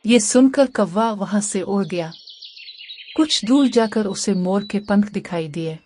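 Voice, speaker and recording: A woman's voice: medium pitch, measured pace, darkish tone. Woman narrating a children's fable, faint noise. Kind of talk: scripted children's story narration.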